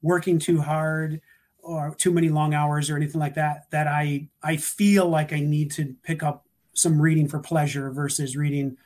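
A man talking through career advice in a relaxed, thoughtful way, with frequent restarts and fillers. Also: fairly high pitch, moderate pace. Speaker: guest, security practitioner. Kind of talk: casual panel talk.

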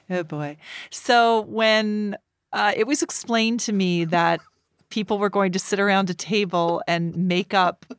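A woman's voice: medium pitch, slow pace, clean tone, dry recording, clean studio sound. A woman guest chatting casually, telling anecdotes with chuckles along the way. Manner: chuckles while telling stories. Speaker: interview guest and performer.

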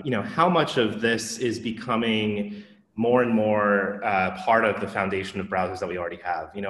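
A man hosting a casual tech podcast interview, relaxed and enthusiastic, often saying "you know". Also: lowish voice. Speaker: podcast host. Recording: roomy echo.

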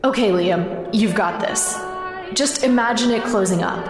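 An actress playing a patient, encouraging woman in an audio drama, coaching a magic learner gently and informally. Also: medium pitch, measured pace, close to an everyday voice. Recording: echoey reverb over music.

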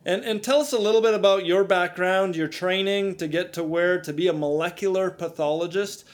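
A man's voice: high voice, fairly quick. A man hosting a podcast who talks in a friendly, chatty way and often stumbles and repeats words. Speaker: podcast host. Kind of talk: podcast interview.

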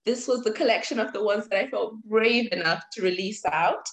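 A woman in her twenties from Zimbabwe, a guest on a podcast, answering thoughtfully with reflective pauses. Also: medium pitch, a crowd in the background. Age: 20s. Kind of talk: conversational interview answers.

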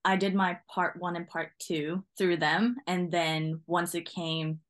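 A woman's voice: on the low side, little pitch movement, a little slow. Young Norwegian woman chatting, laughing often. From Norway. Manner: friendly, laughs often while talking. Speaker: newly qualified driving instructor, guest. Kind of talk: casual interview chat.

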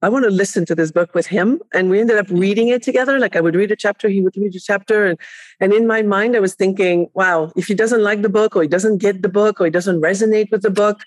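A middle-aged woman with a French accent, chatting lively as a podcast guest and laughing often. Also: mid-range voice, fast, dry recording. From France, raised in Spain. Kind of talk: casual interview chat.

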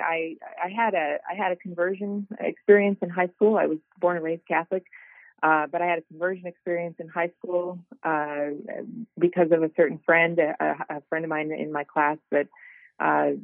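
A middle-aged woman from South Dakota, a guest telling her life story in a relaxed, rambling way over the phone. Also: fairly low pitch, no room sound. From South Dakota, USA. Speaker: guest, ministry worker. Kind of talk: casual interview over the phone. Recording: tinny phone line.

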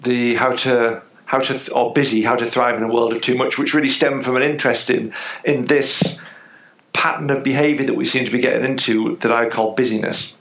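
A man's voice: medium pitch, fairly even intonation, brisk, a bit bright, reverberant, dull audio. A man speaking as a business psychologist guest, explaining his ideas thoughtfully with hesitations. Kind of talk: interview answers.

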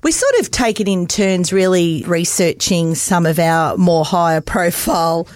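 A woman's voice: fairly low pitch, unhurried, a little mellow, no room sound, clean studio sound. A middle-aged Australian woman co-hosting a casual, conversational interview. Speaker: co-host interviewer.

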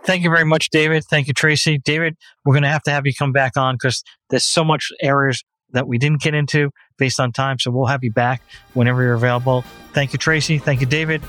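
A man's voice: mid-range voice, fairly even intonation, fairly quick, fairly bright tone, no echo, faint music behind. A man hosting a talk radio show, politely thanking guests and steering the show.

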